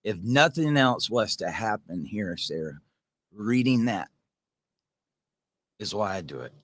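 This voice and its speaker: A man's voice: fairly low pitch, lively intonation, measured pace, fairly bright tone. A man hosting a casual livestream, reading viewer comments and talking earnestly in a rambling way, addressing viewers by name.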